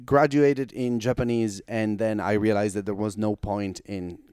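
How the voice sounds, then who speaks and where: Italian guy, young adult, easygoing talker, smooth dry voice, podcast guest. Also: fairly low pitch, moderate pace, no echo. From Italy. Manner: relaxed storytelling, frequent "like" fillers, laughs easily. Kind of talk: casual chat.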